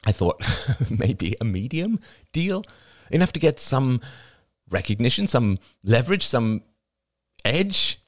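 A man voicing an anxious, defensive young woman in an audiobook, pleading with a partner in a halting, emotional manner. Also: mid-range voice, very animated, pitched above the performer's own voice.

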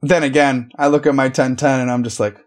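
A man's voice: mid-range voice, little pitch movement, brisk. Laid-back man rambling in a casual chat. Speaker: podcast host.

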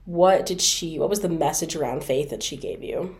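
A woman's voice: deep voice, normal speed, a little mellow. A woman in her twenties, a student hosting a campus podcast, asking questions in an upbeat and encouraging way. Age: 20s. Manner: upbeat, encouraging, many affirming interjections. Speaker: podcast host. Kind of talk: interviewing.

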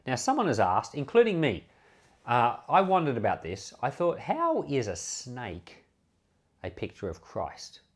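An Australian man preaches informally, like a pastor talking a small point through in conversation. His pitch moves up and down in a very lively way around a medium register. He explains step by step and often puts questions to the listener. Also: unhurried. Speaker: pastor and Bible podcast host. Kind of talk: informal sermon, explaining scripture.

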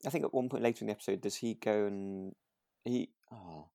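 A man's voice: on the low side, fairly quick, gravelly, dark tone, no room sound, clean studio sound. A man chatting casually as a podcast co-host, phrasing his thoughts in a hesitant, thoughtful way. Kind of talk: relaxed casual chat about a radio drama.

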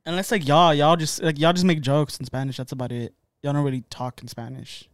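Teasing young man, slightly high, dry. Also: fairly even intonation, fairly quick, no echo. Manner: teasing, joking, loud swings. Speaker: streamer. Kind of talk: casual chat.

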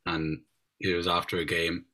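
A very deep-voiced man co-hosts a podcast. His tone is bright and forceful. He throws in jokes and short quips. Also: monotone, slight background noise. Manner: jokey, quick quips. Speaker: podcast co-host. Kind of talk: casual banter.

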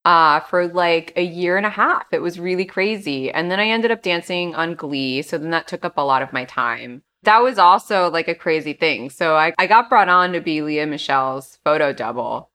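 A young woman from New York with a deep, flat-sounding voice and a New York accent, telling stories casually as an interview guest. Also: moderate pace, hardly any pauses. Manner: casual storytelling, self-deprecating, many "um" and "like". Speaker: actress, podcast guest.

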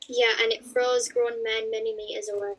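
A young woman co-hosting a podcast, reading passages aloud in a halting way with casual chat between. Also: a little high, flat delivery, rough recording. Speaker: podcast co-host.

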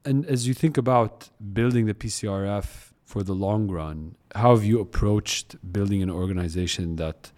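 A Palestinian American man from Akka hosting a podcast interview, speaking in a halting, appreciative manner. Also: on the low side, darkish tone. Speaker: podcast host.

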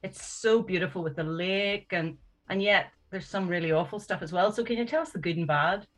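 A woman's voice: fairly low pitch, a little fast. A woman co-hosting an interview, chatting casually and asking the guest questions. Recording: slightly dull lo-fi sound.

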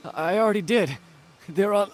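An actor playing a protective, gentle boyfriend in an audio drama, speaking in an earnest, soothing way. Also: male voice, high voice, normal speed, a little hiss or hum, natural voice.

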